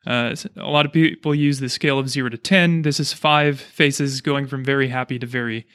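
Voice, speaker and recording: A man's voice: medium pitch, steady tone, a little fast, fairly bright tone, smooth, no room sound, clean studio sound. A man hosting a casual interview, chatting and explaining things as he goes. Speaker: podcast host, chiropractor.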